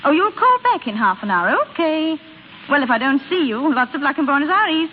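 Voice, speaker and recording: Meddling high-voiced sister, tinny radio. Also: female voice, big pitch swings, fairly quick. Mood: falsely sweet. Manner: gushing, insincere, bossy. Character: Florrie, meddling married older sister, insistent, gossipy. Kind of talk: 1930s-40s radio drama acting. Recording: thin, band-limited old radio recording, faint hiss.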